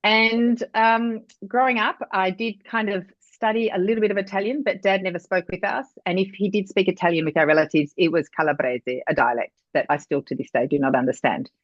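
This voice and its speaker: An Australian woman in her fifties, a watercolor artist who is a guest telling her life story in a relaxed, chatty way. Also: medium pitch, a little fast, few pauses, no echo. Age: 50s. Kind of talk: casual interview storytelling. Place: her art studio in the heat. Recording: dry, dull lo-fi sound.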